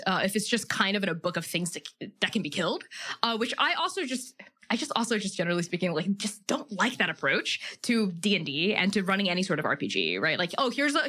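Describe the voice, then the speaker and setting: A woman in casual, opinionated discussion as a podcast guest, speaking emphatically and in halting, hedged phrases. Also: medium pitch, bright, forceful tone. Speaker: podcast guest, game master.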